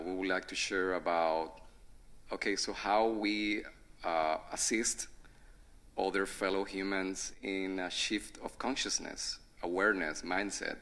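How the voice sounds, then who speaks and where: Deep-voiced man moderating a panel. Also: a bit bright. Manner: halting, repeats words while phrasing questions.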